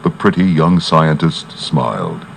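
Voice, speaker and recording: Storybook narrator: male, deep voice, animated dramatic read, children's read-along style. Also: measured pace, gravelly, a little hiss or hum. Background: loud music.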